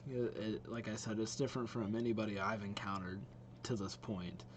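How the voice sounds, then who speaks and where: A young adult man hosting a reaction video with casual, expressive, informal commentary. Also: lowish voice, a little fast, slight background noise.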